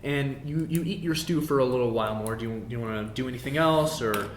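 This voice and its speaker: A man narrating a tabletop fantasy game as game master, improvising in a halting way and repeating phrases. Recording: roomy echo, faint background noise, rumble.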